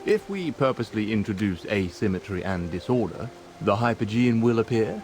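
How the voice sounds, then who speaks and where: A man's voice: fairly low pitch, lively intonation, normal speed, a little mellow. A man playing a serious, questioning character in a scripted fantasy game. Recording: music and rain behind the voice. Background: music, rain.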